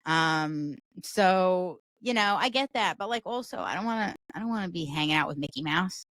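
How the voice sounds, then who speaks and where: A woman with a deep voice, guesting on a podcast and talking in a loose, rambling way full of "like". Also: animated, moderate pace, fairly bright tone, dry recording. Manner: rambling, heavy use of "like" fillers. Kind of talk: casual chat.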